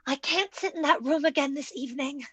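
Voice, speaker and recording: A woman's voice: high voice, fairly quick, bright, forceful tone, no room sound. A woman playing a distraught, haunted sister in an old-time radio ghost drama, tremulous and insistent. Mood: hushed dread.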